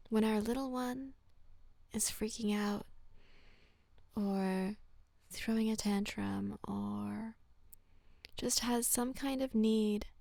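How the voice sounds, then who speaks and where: A woman leading a slow, soothing guided relaxation in a soft, breathy, often near-whispered voice, gentle and unhurried with long pauses. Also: medium pitch, dry recording. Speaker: guided meditation narrator.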